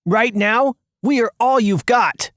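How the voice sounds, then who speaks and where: A narrator voicing a brusque, bossy accomplice in an animated story, commanding and dismissive. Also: mid-range voice, slow, dry recording, clean studio sound, voice pushed up. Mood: matter-of-fact.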